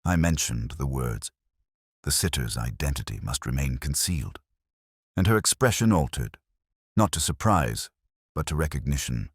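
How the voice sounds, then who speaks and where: A man reading scripted first-person mystery narration in a measured, literary, brooding manner. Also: very deep voice, animated, slightly rough, no echo, clean studio sound.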